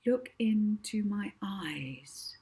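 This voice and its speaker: A woman voicing a cunning young orphan girl hypnotist, calm and commanding, in an audiobook. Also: medium pitch, pitched above the performer's own voice. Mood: soft, hypnotic. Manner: soft, slow, commanding. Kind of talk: audiobook character voice.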